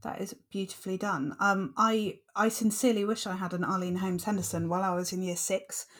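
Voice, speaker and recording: A woman's voice: mid-range voice, little pitch movement, fairly quick, a bit bright. A woman co-hosting a podcast, asking questions in a chatty, self-deprecating, teasing way. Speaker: podcast co-host, interviewer. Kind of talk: casual podcast chat.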